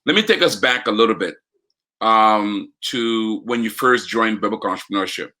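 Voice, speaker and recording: Upbeat podcast host, bright low voice. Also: male voice, moderate pace. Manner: upbeat, enthusiastic questioning. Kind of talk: live podcast interview.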